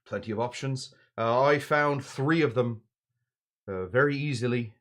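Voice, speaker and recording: A man hosting a casual livestream in a put-on Irish accent, chatting in a halting, rambling, jokey way with mock-grand asides. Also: medium pitch, fairly bright tone. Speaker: livestream show host. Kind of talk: casual livestream chat, greeting viewers and presenting drinks.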